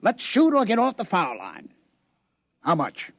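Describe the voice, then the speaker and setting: A man's voice: high voice, huge pitch swings, measured pace, a bit bright, no room sound, exaggerated voice. An actor playing a brash, wisecracking male casino boss in a 1950s radio drama. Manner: brash, wisecracking, laughs a lot. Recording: dull old radio broadcast.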